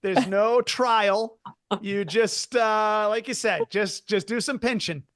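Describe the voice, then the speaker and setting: A man's voice: high voice, takes it slowly, fairly bright tone, clear, resonant tone, no room sound, studio quality. A man co-hosting a comedy podcast, telling a rambling story with many restarts and repeated words. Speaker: podcast co-host. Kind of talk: casual comedic chat.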